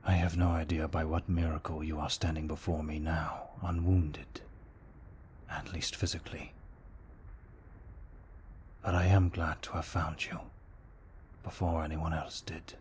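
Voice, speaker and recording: A man playing a tormented, courteous vampire in an ASMR roleplay, speaking formally in a hushed, breathy voice that often slips into a whisper. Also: a bass voice, level tone, a little hiss or hum. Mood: grave, gentle. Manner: formal, deliberate, brooding delivery. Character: tormented, courteous male vampire fighting his bloodlust. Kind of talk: ASMR roleplay, slow intimate monologue.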